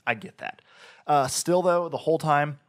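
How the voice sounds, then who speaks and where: A man's voice: fairly high pitch, normal speed. Rambling male podcast co-host. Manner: rambling with many fillers and restarts. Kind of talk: casual game review chat.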